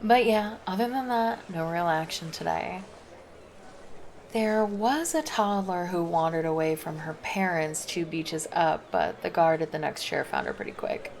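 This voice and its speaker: A woman's voice: lowish voice, unhurried, a little mellow, slight background noise. A woman voicing a laid-back, flirty female lifeguard in an ASMR roleplay, chatting casually and teasing. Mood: easygoing.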